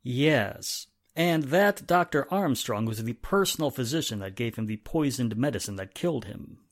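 A woman playing a calm, grave companion in a 1940s-style radio drama. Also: a bass voice, normal speed, heavily acted.

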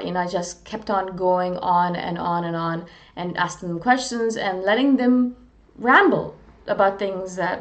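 Earnest, self-deprecating woman from India. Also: mid-range voice. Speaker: vlogger on personality types. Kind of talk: casual reflective monologue to camera.

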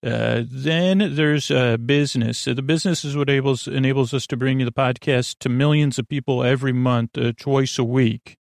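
A man hosting a bedtime podcast in a rambling, halting monologue full of digressions, with a gravelly, creaky voice. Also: mid-range voice, steady tone, no room sound, studio quality. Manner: meandering, halting, full of digressions and trailing thoughts. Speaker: podcast host.

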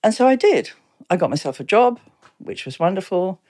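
A woman in her seventies from Exeter with an English accent, reminiscing casually and anecdotally as a podcast guest, quick to chuckle. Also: medium pitch, very animated, darkish tone. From Exeter, England. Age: 70s. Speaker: town mayor, podcast guest.

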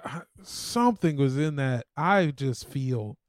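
A man's voice: medium pitch, expressive, slow, no echo, studio quality. A man co-hosting a podcast, bantering casually with slang, jokes and swearing. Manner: slangy, profane, joking. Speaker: podcast co-host, comedian.

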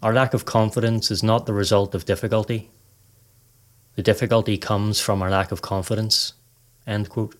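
Low-voiced man guiding a meditation. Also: flat delivery. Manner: measured, instructional, reading aloud. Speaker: podcast host and meditation guide. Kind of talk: scripted guided meditation narration.

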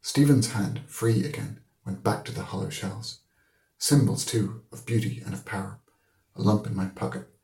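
Creaky-voiced Irish man, low and echoey. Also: normal speed, darkish tone. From Ireland. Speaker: audiobook reader. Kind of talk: literary narration. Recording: roomy echo.

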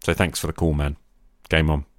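A man's voice: a bass voice, level tone, fairly quick, a little hiss or hum. A man speaking as a podcast host about tabletop roleplaying, addressing the listener directly and encouragingly.

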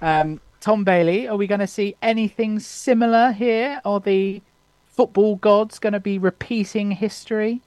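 A man's voice: high voice, normal speed, darkish tone, no echo. A man hosting a casual football podcast, teasing his co-hosts and laughing often. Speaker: podcast host. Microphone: remote call.

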